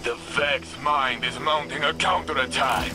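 A man's voice: medium pitch, fairly even intonation, a little slow, heavy background noise, natural voice. Man playing a solemn male warrior ally. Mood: solemn, alert. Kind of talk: scripted video game dialogue.

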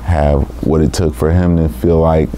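A thirties man from San Francisco talks in a very low, dark, nearly flat voice. His manner is relaxed and full of street slang. Faint music sits behind him in a small studio room. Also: moderate pace, a little hiss or hum. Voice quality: very deep voice. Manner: slangy, laid-back street talk, constant "you feel me". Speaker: rapper guest. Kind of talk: casual interview talk.

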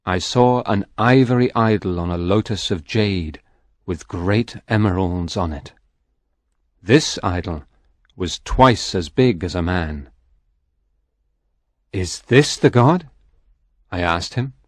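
Guy doing a stern spirit, really deep voice, slow and heavy, dry sound. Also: lively intonation, no echo. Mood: recounting. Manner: slow, grave storytelling delivery. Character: a man's soul, spirit, stern and solemn. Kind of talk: audiobook reading.

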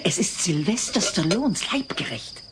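A woman's voice: lowish voice, very animated, unhurried. A woman playing a nosy, flirtatious and pushy neighbor, coy and insistent, in a dubbed sitcom audio play. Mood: eagerly friendly. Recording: noisy old cassette transfer.

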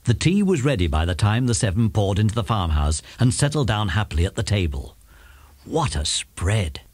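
A man with a British accent narrating a children's audiobook in an expressive, dramatic storytelling style. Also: lowish voice, moderate pace, no room sound. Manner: animated, dramatic.

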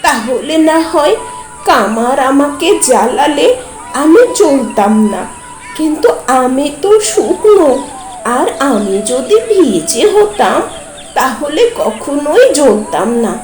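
A woman's voice: sits high, sing-song, slow pace, darkish tone, soft background music, heavily acted. A woman voicing a talking piece of dry wood in a cartoon tale, explaining and chuckling. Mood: amused. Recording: roomy echoey voice-over.

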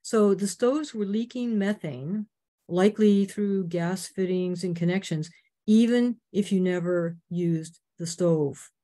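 An older woman from Canada giving an earnest, informative slide talk on a video call, clearing her throat now and then. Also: fairly low pitch, slow, mellow. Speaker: presenter.

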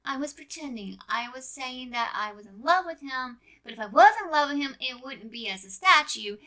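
A teenage girl from a fan audio drama acted by a woman, flustered and a bit teasing. The voice sits fairly high with a sharp edge. The protests come in emphatic, halting pieces. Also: lively intonation. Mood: flustered, defensive.

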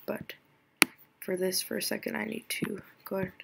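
A young woman giving a casual screen-recorded software tutorial, chatty and giggly, thinking aloud with a voice lower than usual today. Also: flat delivery, normal speed, gravelly, very noisy. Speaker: tutorial creator.

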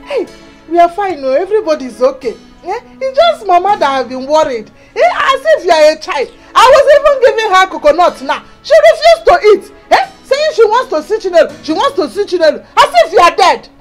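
Excitable elder sister, Nigerian Igbo accent, high and animated voice, teasing village type for film drama. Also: female voice, fairly quick, faint music behind. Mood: teasing, exasperated. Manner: exclamatory, expressive.

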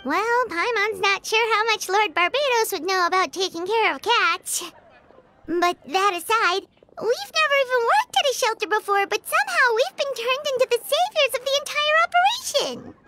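Game sidekick: tiny blunt fairy, very high and bouncy, talks about herself in third person. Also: female voice, lively intonation, normal speed, sharp tone, soft background music, full character voice. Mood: chatty. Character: tiny floating female fairy companion, chatty and blunt. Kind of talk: video game voice acting.

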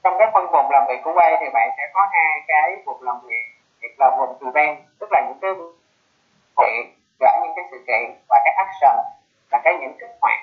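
A man giving a live technical presentation in an explanatory way, halting and pausing mid-phrase. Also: a little high, lively intonation. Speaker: webinar presenter. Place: online video conference. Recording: muffled phone-like web call audio.

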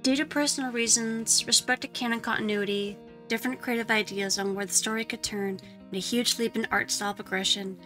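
A woman narrator reads a written statement. Her voice is bright and crisp-edged, at a medium pitch, with music playing softly behind her. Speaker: narrator reading an artist's note. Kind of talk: read statement.

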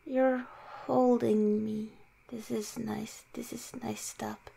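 High-pitched, hesitant werewolf girlfriend, acted by a woman. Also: level tone, gravelly, warm tone, breathy edge, full character voice. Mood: shy, soothed. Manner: halting, hesitant, self-mocking. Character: shy, insecure young female werewolf girlfriend, sweet and awkward. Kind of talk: improvised ASMR roleplay.

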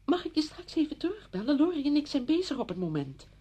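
An actress playing an exasperated, blunt, emotional neighbour in a radio play, agitated and emphatic. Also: female voice, high voice, sing-song, fast talker, dark tone, dull audio. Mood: hurt, reproachful. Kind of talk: Dutch radio play acting.

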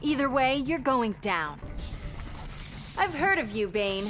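A woman's voice: sits high, big pitch swings, slow, exaggerated voice. A woman voicing a young, earnest, defiant superheroine in a video game, sounding confident. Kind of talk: video game hero acting. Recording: music behind.

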